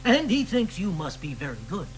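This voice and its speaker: Wry protest-era poet, needling, animated pitch. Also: male voice, a little fast, music in the background. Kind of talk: radio drama acting.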